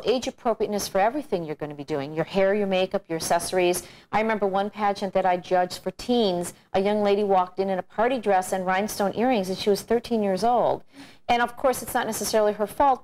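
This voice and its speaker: A middle-aged woman, a pageant coach and judge, giving measured, encouraging advice in an instructional video. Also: mid-range voice, darkish tone, a little hiss or hum, rough recording.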